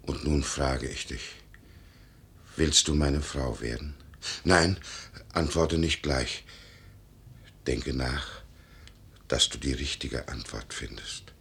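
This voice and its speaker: An actor playing a grave middle-aged suitor in a radio play, solemn and halting, with a gravelly, husky voice. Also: male voice, extremely low pitch, a bit bright, full character voice. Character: grave, slight, balding wealthy suitor of about fifty. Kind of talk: German radio play acting.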